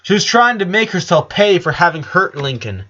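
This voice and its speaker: A man's voice: high voice, very animated, moderate pace, bright, forceful tone. Man reading a story, slightly dull sound. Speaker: narrator of a fan fiction story. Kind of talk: scripted narration, audiobook reading.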